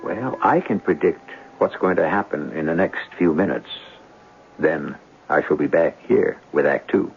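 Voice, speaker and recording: A man narrating as host of a 1970s radio drama, measured and theatrical, building suspense. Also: low-pitched, creaky. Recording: tinny, hissy 1970s radio broadcast recording.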